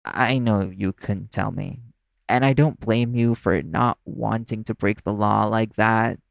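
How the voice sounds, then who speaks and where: Hesitant, gravelly low-voiced man, acted, nervous and caring. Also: no room sound, studio quality. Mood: overwhelmed, earnest. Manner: hesitant, halting, stammering. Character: nervous, caring man newly partnered with a vampire. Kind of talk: naturalistic audio roleplay acting.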